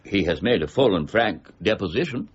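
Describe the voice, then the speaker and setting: Cold relentless official, period radio drama, low voice, widely varied intonation, curt, dry, sardonic. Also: male voice, very animated, moderate pace, slightly rough, muffled recording. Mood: pressing, sardonic. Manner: formal, curt, dryly sardonic. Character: stern, dry, relentless male mint warden.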